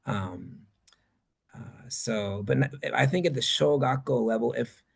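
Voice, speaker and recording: Creaky-voiced man answering audience questions. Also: medium pitch, expressive, measured pace. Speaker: presenter. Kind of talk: conference talk question-and-answer session.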